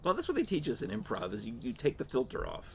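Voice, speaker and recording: A man's voice: medium pitch, huge pitch swings, quick pace. Middle-aged man chatting, noisy outdoor ambience. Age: 50s or 60s. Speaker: talk show co-host. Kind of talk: casual banter and anecdotes. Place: screened porch with open greenery outside. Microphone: shared tabletop condenser microphone. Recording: clear outdoor ambient noise.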